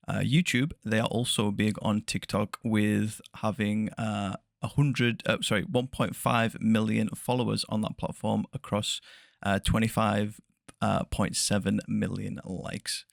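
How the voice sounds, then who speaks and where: A man hosting a soft-spoken interview podcast, chatty and laughing often, correcting himself as he goes. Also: lowish voice, little pitch movement, a little slow, vocal fry, no room sound, studio quality. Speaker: podcast host.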